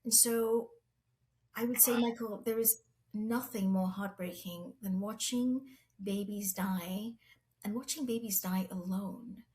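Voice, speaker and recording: A middle-aged woman who grew up in Zambia, speaking as a podcast guest. She talks in an earnest, reflective way with a measured pace. Her voice sits at a medium pitch. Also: low-quality recording. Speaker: guest, pharma medical executive. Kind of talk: interview storytelling.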